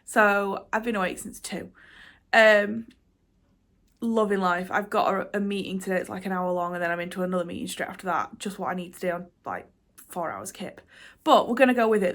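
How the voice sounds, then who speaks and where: A woman's voice: medium pitch, quick pace, a bit bright. A young woman talks casually to her camera, stuffed up with a cold. She rambles and restarts, dropping frequent ums as she catches up on her reading and her busy week. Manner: rambling, chatty, self-deprecating, with many "um"s and restarts. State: congested with a cold. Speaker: book vlogger. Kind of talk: casual chatty vlog to camera.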